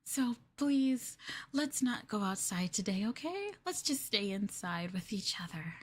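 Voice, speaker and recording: A woman's voice: medium pitch, very animated, measured pace. A woman playing a clingy, sweetly possessive young girlfriend in an ASMR roleplay, with a coy, hesitant, coaxing delivery. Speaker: streamer performing ASMR roleplay.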